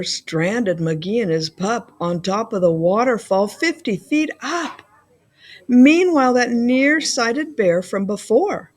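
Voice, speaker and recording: Woman reading a picture book, very lively pitch. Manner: deliberate storytelling with playful emphasis. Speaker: school librarian reading to children. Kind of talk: expressive children's picture-book read-aloud.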